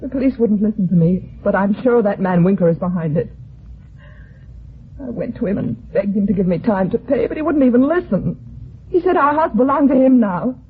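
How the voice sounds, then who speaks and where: A woman playing an agitated grieving widow, halting and pleading, in an old-time radio detective drama. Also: mid-range voice, lively intonation, slight background noise, close to an everyday voice. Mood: distraught, pleading. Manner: halting, breathless protests and repeated denials. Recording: tinny band-limited vintage radio broadcast.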